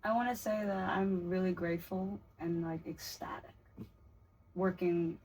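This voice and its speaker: A woman singer chatting casually on a podcast, animated and expressive, talking freely with slang. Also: on the low side, unhurried, husky, poor audio.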